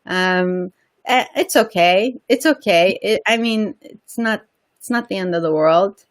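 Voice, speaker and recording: A woman's voice: medium pitch, a little slow. A woman with a slight Persian accent, a guest on a casual interview, talking earnestly and often repeating words while she searches for them.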